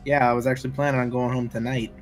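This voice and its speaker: A man's voice: medium pitch, little pitch movement, racing, soft background music, natural voice. A man playing a protective, plain-spoken young father in a tabletop roleplaying game. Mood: earnest.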